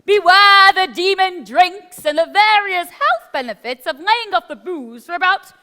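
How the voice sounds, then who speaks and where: A woman playing a flustered, excitable queen in a stage pantomime. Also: extremely high pitch, a little slow, slight background noise, exaggerated voice. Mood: flustered, exclaiming. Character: flustered queen, excitable wife of a pompous king. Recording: hall reverb, live stage.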